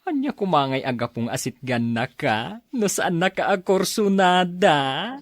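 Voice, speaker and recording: A woman's voice: lowish voice, very animated, measured pace, no room sound, studio quality. An actress playing an inquisitive, chummy female friend in a radio drama, with a Filipino accent. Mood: curious.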